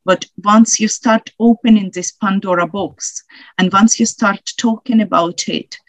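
A woman's voice: medium pitch, fairly even intonation, a little slow, no echo, poor audio. Ukrainian-accented woman, hesitant and thoughtful. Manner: thoughtful, hesitant with many fillers. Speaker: guest researcher. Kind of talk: panel discussion.

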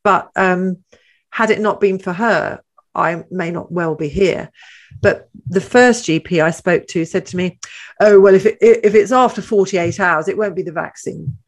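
A woman's voice: on the low side, unhurried. A woman hosting a panel discussion. She talks in a chatty way and often asks leading questions. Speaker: host.

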